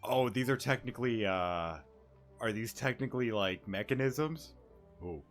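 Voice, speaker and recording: A man's voice: medium pitch, expressive, measured pace. A man streaming a game, reacting in casual off-the-cuff commentary, with game music behind his voice.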